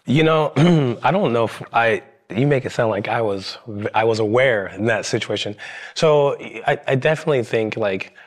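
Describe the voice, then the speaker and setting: A young man from Ohio, a podcast guest, telling a personal story in a halting way with many restarts, seated on a studio set. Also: medium pitch. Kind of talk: candid storytelling.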